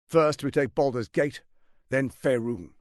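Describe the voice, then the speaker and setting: An actor playing a scheming, menacing fantasy tyrant in a video game, silky, grandiose and condescending, speaking with theatrical deliberation. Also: male voice, medium pitch, measured pace, slight background noise, lightly acted. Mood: grand, urgent. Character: scheming, smooth, menacing male tyrant lord and political mastermind.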